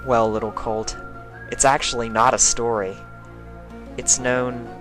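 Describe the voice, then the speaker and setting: A performer of unclear sex voicing a grown-up mare, gently firm, telling a cautionary tale in a cartoon pony story. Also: mid-range voice, little pitch movement, unhurried, music in the background, close to an everyday voice. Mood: gentle, teacherly.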